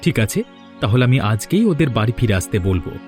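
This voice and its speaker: A man's voice: medium pitch, animated, fast talker, a little mellow, faint music behind, close to an everyday voice. A man playing an earnest, devoted young husband in a cartoon, talking in a coaxing, sincere way. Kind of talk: Bengali cartoon voice acting.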